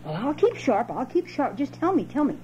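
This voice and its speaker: A woman's voice: high-pitched, very animated, a little fast, warm tone, pitched above the performer's own voice. A woman voicing a big, gullible, greedy male bear in a storybook, speaking folksy dialect and eagerly repeating himself, on a home cassette. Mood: eager, begging. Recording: dull, noisy home cassette with hum.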